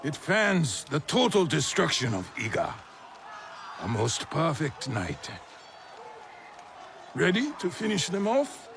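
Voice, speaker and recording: An actor playing a commanding, ambitious warlord, speaking in a grandiose, deliberate way in a cinematic period game. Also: male voice, fairly high pitch, wildly swooping pitch, vocal fry, a bit bright, a little hiss or hum, exaggerated voice. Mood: exultant, grandiose. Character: commanding, ambitious Japanese warlord, proud and theatrical. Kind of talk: cinematic period drama acting.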